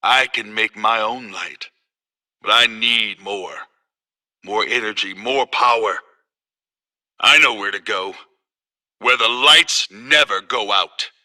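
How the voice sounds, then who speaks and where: Slow, piercing, unhinged supervillain. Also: male voice, on the low side, pushed and bright, exaggerated voice. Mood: hungry, menacing. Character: electrocuted man turned villain, fearful turned power-hungry. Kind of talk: dramatic video game monologue.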